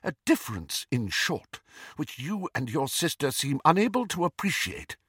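A stern, dignified woman professor of witchcraft from a storybook, as a male narrator puts her on. She speaks formally and precisely, with icy impatience. The voice sits high and is bright, with an expressive rise and fall. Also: normal speed, breathy edge, no echo, studio quality, exaggerated voice. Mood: indignant.

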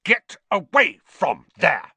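A man's voice: fairly high pitch, wildly swooping pitch, normal speed, pushed and bright, no echo, voice pushed up, heavily acted. A man voicing a pompous teenage boy, an officious older brother, indignant and terse, in an audiobook. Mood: scolding. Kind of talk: audiobook character acting.

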